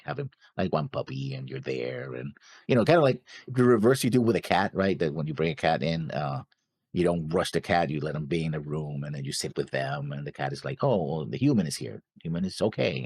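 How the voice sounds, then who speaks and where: A man's voice: rumbling low, gravelly, a little mellow, breathy edge, no room sound, studio quality. A man co-hosting a reaction show in casual chat, rambling and thinking aloud as he talks. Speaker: co-host of an anime reaction show.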